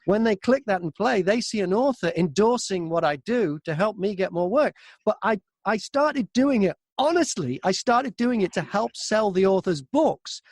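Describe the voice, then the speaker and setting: High-voiced man telling anecdotes, dry sound. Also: animated, moderate pace, a little mellow, no room sound. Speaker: audiobook narrator and interview guest. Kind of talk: casual anecdotal interview chat.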